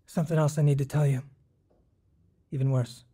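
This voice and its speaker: An actor playing a dying man in his thirties in a radio drama, halting and burdened with guilt as he confesses. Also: a little high, little pitch movement, mellow, husky. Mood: anguished. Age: 30s.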